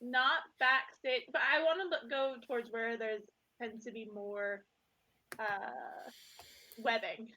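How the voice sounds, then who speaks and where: A woman's voice: on the high side, fairly even intonation, slow pace, sharp tone, poor audio. A woman playing a tabletop game, chatting casually and thinking aloud about what her character will do.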